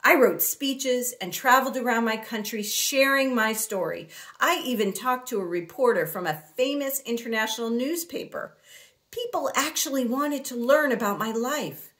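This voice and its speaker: A woman reading a children's story aloud expressively, in a deliberate way with dramatic pauses. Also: fairly high pitch, fairly bright tone. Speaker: picture book reader.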